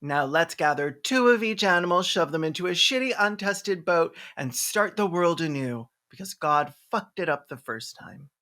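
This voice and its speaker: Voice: mid-range voice, expressive, moderate pace, hardly any pauses, sharp tone. A commentator giving a comedic reaction to a movie in a sarcastic, campy, sweary way. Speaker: host.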